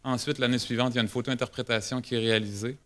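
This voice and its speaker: A man with a Québécois accent presents at a conference in a clear, smooth voice with little melodic movement. He is informal and hesitant, often restarting his phrases as he goes. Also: mid-range voice, fairly even intonation, racing, fairly bright tone. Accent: Québécois French. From Quebec, Canada. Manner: hesitant, frequent restarts and self-corrections. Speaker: government forestry specialist presenting.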